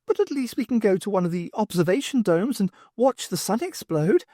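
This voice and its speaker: High-pitched alien, played by a man, mournful, resigned, storybook character, dry close sound. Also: big pitch swings, a little fast, mellow, no echo.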